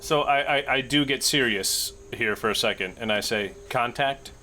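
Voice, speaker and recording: A man talks casually as a player in a tabletop roleplaying game. His mid-range voice is bright and forceful, with faint noise in the recording. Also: mid-range voice, normal speed. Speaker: player in an actual-play show.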